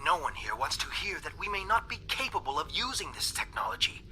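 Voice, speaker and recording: A worried alien scientist from a sci-fi game, with a fairly high and very sharp, bright voice. He delivers formal, grave monologues like a secret dissenter, over faint noise and ambience. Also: very animated, full character voice. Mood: frustrated, worried. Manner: articulate, formal, grave, deliberate. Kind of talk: scripted video game voice acting, monologue message.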